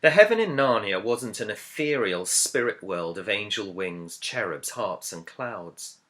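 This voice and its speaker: Low, bright-voiced man reading aloud. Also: lively intonation, a little slow. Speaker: author reading own book introduction. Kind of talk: scripted audiobook-style narration.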